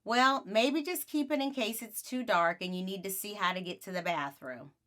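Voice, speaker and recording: Storybook mom, read by a woman; patient, gentle, reassuring, medium pitch. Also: moderate pace, close to an everyday voice. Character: caring mother, reassuring, patient.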